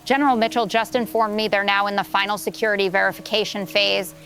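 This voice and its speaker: A woman's voice: mid-range voice, steady tone, fast talker, a bit bright, faint music behind, lightly acted. A woman playing an earnest, composed news correspondent giving a descriptive live report from the scene. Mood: composed, expectant.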